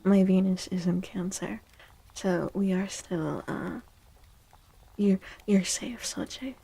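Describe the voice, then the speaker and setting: A woman speaking softly and gently in a breathy near-whisper, chatting casually as an ASMR streamer giving readings. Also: mid-range voice, takes it slowly. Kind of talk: soft-spoken ASMR, casual chat.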